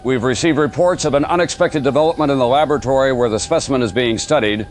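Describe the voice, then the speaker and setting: A man playing a sober radio news anchor, reading a bulletin in a formal, measured way in an audio drama. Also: medium pitch, slight background noise, natural voice. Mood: serious, formal.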